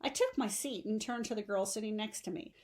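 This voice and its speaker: A woman narrates a story for young readers in the manner of an audiobook. Her delivery is expressive, with a medium-pitched voice that carries the first-person narration. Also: brisk. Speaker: teacher reading a novel aloud.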